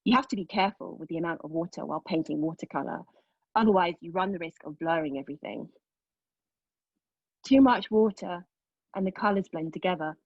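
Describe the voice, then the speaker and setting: A husky-voiced, anguished woman, pregnant and bitterly sardonic, acted by a woman at a play reading. She speaks her monologue with raw, deliberate intensity at a middle pitch. The recording is dry and slightly dull. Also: no echo. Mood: pained, reflective. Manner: intense, deliberate, emotionally exposed delivery. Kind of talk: dramatic monologue at a table read.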